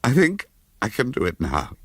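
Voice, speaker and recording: A man reading a ghost story, voicing a terrified woman trapped high up. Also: wildly swooping pitch, normal speed, fairly bright tone, husky, no room sound, exaggerated voice. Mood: terrified counting.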